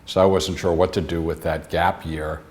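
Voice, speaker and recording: A man from the suburbs of New York City, describing his path from medicine into science in a calm, plainspoken interview. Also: low voice, moderate pace. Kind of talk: reflective interview answers.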